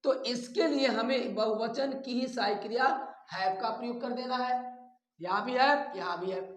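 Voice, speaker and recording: North indian grammar teacher, takes it real slow, repeats stuff and quizzes students, roomy echo. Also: mid-range voice. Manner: instructive, repeats phrases and poses questions to students. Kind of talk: explanatory grammar lesson. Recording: roomy, echoey sound.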